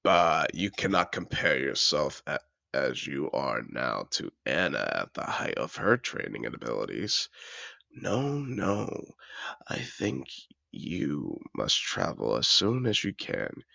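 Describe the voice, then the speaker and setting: Man acting a very deep, gravelly aristocratic dragon seducer. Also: very animated, slow pace, bright, forceful tone, no room sound, heavily acted. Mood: excited, urging. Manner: refined, effusive, theatrical phrasing. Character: wealthy cultured male dragon in human disguise, possessive, effusive seducer. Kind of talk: scripted audio roleplay addressed to the listener.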